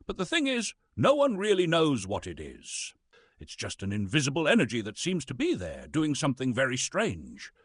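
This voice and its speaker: A man playing a pompous, disgruntled actor who narrates science scripts theatrically, with a husky voice and dramatic emphasis. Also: medium pitch, wildly swooping pitch, normal speed, no room sound. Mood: grandly explanatory. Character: pompous, disgruntled male Shakespearean actor reading physics lectures.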